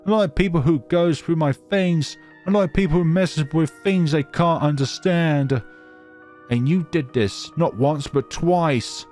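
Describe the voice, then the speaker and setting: A man's voice: on the high side, unhurried, a little mellow, faint music behind, voice pushed up, lightly acted. A man voicing a lonely, bitter immortal snow spirit girl in a horror visual novel, speaking accusingly.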